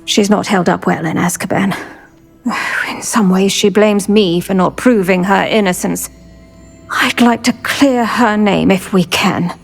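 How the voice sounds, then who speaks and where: A woman playing a stern, protective retired magical law enforcer in a video game, blunt, brisk and assured. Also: mid-range voice, vocal fry, slightly rough, soft background music. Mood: reassuring, regretful. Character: stern, protective older woman, retired dark-wizard hunter. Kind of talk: video game character acting.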